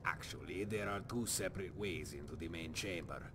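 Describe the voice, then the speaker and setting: A man playing a shrewd, unruffled agent in a fantasy video game, speaking formally and matter-of-factly. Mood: calm, knowing. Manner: formal, matter-of-fact, measured. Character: shrewd, unruffled male agent briefing an infiltration. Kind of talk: scripted video game dialogue. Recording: clear background noise, rumbling ambience.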